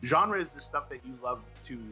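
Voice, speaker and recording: A man answering interview questions in a casual, halting, self-deprecating way, thinking out loud as he rambles along. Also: on the high side, expressive, faint music behind. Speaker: comic book writer being interviewed.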